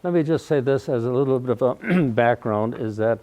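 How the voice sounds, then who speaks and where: Elderly man around ninety, mellow, warm low tone, guest speaker telling his story loudly without a microphone. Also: animated, fairly quick. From Wausau, Wisconsin, USA. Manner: folksy storytelling, often clears his throat. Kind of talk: personal life-story talk to a live audience. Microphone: no microphone, recorded in the room.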